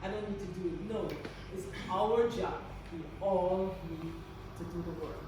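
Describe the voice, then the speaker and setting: A woman's voice: lowish voice, fairly even intonation, a little slow. A woman from Guyana giving a staff training talk with faith themes, earnest and encouraging, often restarting her sentences. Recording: very echoey, distant, noisy room recording.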